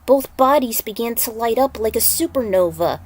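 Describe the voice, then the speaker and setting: A woman's voice: on the high side, lively intonation, moderate pace, heavy background noise. A woman narrating a piece of fan fiction, reading the prose aloud as a dramatic story.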